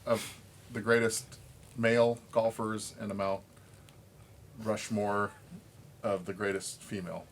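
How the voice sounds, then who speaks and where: A man co-hosting a podcast, chatting and debating casually with the others, with clear background noise. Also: fairly low pitch, level tone, slow, long pauses. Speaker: podcast co-host. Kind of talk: casual podcast banter and discussion.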